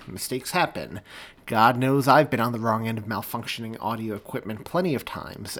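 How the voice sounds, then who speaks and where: A man speaks as a podcast host. His voice sits at a middle pitch. He delivers a casual introduction that mixes prepared lines with chatty asides. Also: a bit bright.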